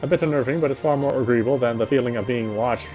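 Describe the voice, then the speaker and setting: A man's voice: medium pitch, fast, darkish tone, faint music behind. A man reading visual novel narration aloud, sometimes stumbling and repeating phrases. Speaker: playthrough reader.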